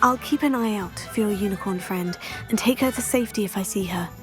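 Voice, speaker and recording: A woman's voice: mid-range voice, a little fast, music in the background, natural voice. A young woman playing a polite, composed teenage witch student with a British accent in a video game. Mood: concerned. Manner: courteous, composed. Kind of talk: video game character dialogue.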